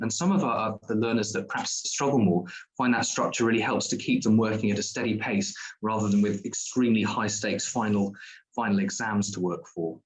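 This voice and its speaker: A man's voice: medium pitch, poor audio. A man presenting a school's diploma courses at an online open day, explaining at length with frequent ums and restarts.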